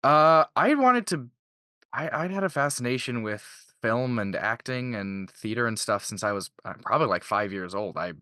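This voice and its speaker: A man's voice: medium pitch, animated, moderate pace, pushed and bright, no room sound, studio quality. A man of twenty-two from Canada chatting casually as a voice actor in an interview.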